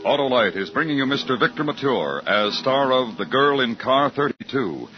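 A male announcer introduces an old radio thriller with formal drama. Music plays clearly under his medium voice. The recording is dull and dated. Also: mid-range voice, animated, normal speed. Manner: formal, theatrical.

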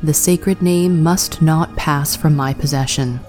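A woman reading the part of a solemn, stern old male rabbi in a storybook tale, speaking slowly and gravely. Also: deep voice, a little mellow, faint music behind.

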